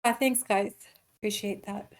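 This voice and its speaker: A woman screenwriter talking casually and haltingly about her writing in an online meeting. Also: mid-range voice, fairly even intonation, vocal fry. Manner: hesitant, informal, halting explanations. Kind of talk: casual discussion in online table read.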